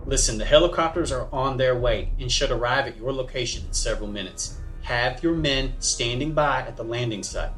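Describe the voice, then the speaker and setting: A man's voice: mid-range voice, a little fast, fairly bright tone, soft background music, close to an everyday voice. A man acting as a short-tempered, curt commanding officer in an audio drama. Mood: brisk, commanding.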